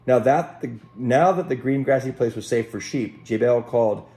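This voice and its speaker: A man reading a children's story aloud in an expressive, dramatic way, pausing to speak directly to young listeners. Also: mid-range voice, faint music behind. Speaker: Sunday school storyteller. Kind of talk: animated children's story read aloud with asides. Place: at home.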